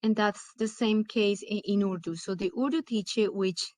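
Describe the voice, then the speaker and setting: Female educator for webinar talk, explains step by step, pauses mid-phrase, mid-pitched, dry sound. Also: fairly even intonation, a little mellow, dry recording. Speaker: dual language program educator presenting. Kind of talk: webinar presentation.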